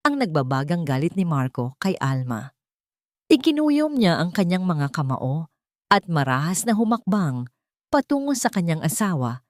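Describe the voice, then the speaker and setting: A Filipino woman narrates a dramatic story in a fairly low voice. Her delivery is formal and measured, as if reading carefully from a script. The sound is very dry, with no room on it. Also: darkish tone, no echo.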